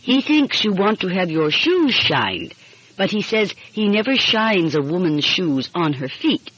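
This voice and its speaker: A woman's voice: lowish voice, sing-song, unhurried, fairly bright tone, breathy edge, no echo, full character voice. A woman voicing an earnest, watchful Turkish girl in a storybook, with careful, formal phrasing. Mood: explaining. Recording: muffled, dull lo-fi audio.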